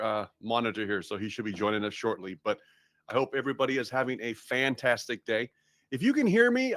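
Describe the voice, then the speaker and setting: A man's voice: medium pitch, a bit bright, dry recording. A man from Massachusetts hosting a live webinar, chatty and upbeat, with lots of fillers and restarts. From Massachusetts, USA. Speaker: webinar host.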